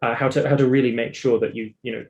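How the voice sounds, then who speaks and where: Chatty British man with a creaky edge. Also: medium pitch, steady tone, fast talker, darkish tone, highs cut off. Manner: talkative, explanatory, emphatic. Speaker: interview guest, singer and vocal coach. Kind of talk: casual interview chat, telling own story.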